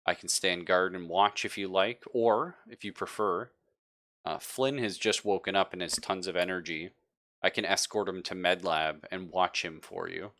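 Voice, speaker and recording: A man voicing a helpful, watchful android crewmate in a sci-fi tabletop game, making polite, measured suggestions. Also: low-pitched, a bit bright, no echo, studio quality. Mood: calm, helpful.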